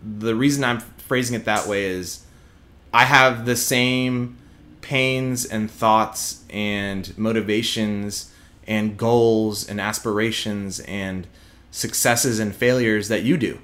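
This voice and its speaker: A man's voice: on the low side, fairly even intonation, takes it slowly, a bit bright. A young man from Houston with a slight Texas twang, speaking earnestly and emphatically as an interview guest, often restarting his sentences. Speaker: guest, prosecutor. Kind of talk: candid interview conversation.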